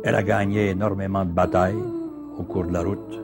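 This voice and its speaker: A man's voice: low-pitched, flat delivery, brisk. A man narrating a documentary in a grave, rhetorical way. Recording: voice with loud music underneath.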